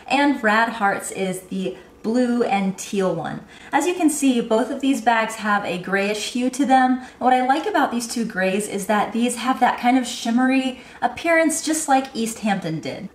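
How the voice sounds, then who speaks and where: Woman shop owner, nasal with a cold, gushing product vlogger; echoey room. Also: on the high side. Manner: bubbly, gushing enthusiasm. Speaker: online baby boutique owner reviewing bags. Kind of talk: product review vlog.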